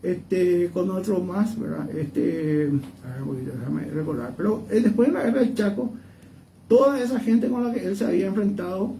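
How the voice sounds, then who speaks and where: Male history pundit, Paraguayan accent, high creaky voice, rambling conversational delivery, distant noisy mic. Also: moderate pace, mellow. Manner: digressive, often seeks agreement with tag questions. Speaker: history commentator, interview guest.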